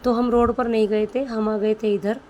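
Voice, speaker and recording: A woman with a mellow, slightly dark voice and a sore throat, chatting in a homely way straight to her viewers. There is faint noise behind her. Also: on the high side, little pitch movement, moderate pace, muffled recording. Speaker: vlogger. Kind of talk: casual home vlog voice-over.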